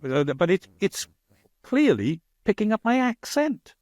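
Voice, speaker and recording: A middle-aged man from Wales with a strong Welsh accent, hosting a podcast interview in a rambling, halting way with many "uh"s. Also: high voice, sing-song, darkish tone, no echo. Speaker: podcast host. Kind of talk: casual interview conversation.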